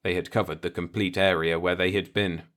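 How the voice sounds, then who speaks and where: A man's voice: deep voice, level tone, a little fast, a bit bright. A man reading scripted fiction as an audiobook narrator.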